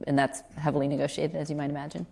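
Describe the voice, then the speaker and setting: A woman's voice: deep voice, level tone, rapid-fire, creaky, dark tone. A woman executive on a conference panel, answering audience questions in a matter-of-fact way and quoting figures plainly. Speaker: panelist, green lending executive.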